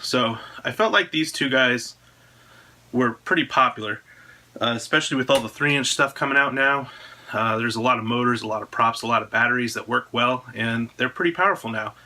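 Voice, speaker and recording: A man's voice: mid-range voice, brisk, pushed and bright. An American man in his thirties talking casually to camera, presenting a product announcement in an indoor room at home. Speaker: drone frame designer presenting own video.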